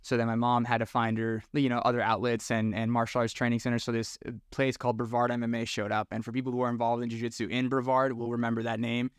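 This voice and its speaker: Fast-talking, smooth-voiced man. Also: medium pitch, no room sound, clean studio sound. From Orlando, Florida, US. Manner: relaxed, slangy, talks with friendly bro energy. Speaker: podcast guest, tattoo shop owner. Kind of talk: casual storytelling chat.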